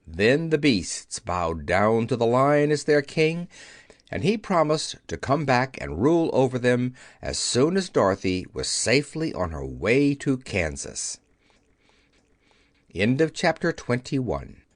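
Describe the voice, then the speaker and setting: Animated man narrating a children's story. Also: medium pitch, slow pace, no echo, clean studio sound. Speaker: audiobook narrator.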